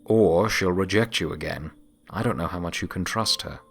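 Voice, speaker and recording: A man's voice: low-pitched, normal speed, gravelly, fairly bright tone. A man playing a doubtful, cautioning pony who is a concerned friend in an audio story. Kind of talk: audio story character dialogue.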